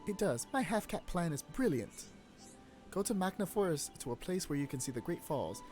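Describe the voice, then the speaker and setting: A man lightly puts on a small furry creature from a fantasy game, sentimental and earnest. The pitch moves about a lot, with faint game music underneath. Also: lively intonation, normal speed, a little mellow, natural voice. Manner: reads game dialogue aloud with a light put-on voice.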